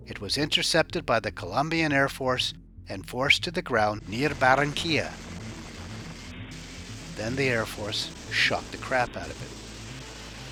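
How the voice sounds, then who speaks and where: A man narrating a scripted true-crime news report as its host, in a wry, colloquial storytelling manner with dramatic pauses. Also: mid-range voice.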